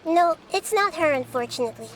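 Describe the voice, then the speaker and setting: A woman voicing a small childlike goddess of wisdom in a video game, calm, measured and self-assured as she explains. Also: sits high, a little hiss or hum, rough recording. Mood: thoughtful.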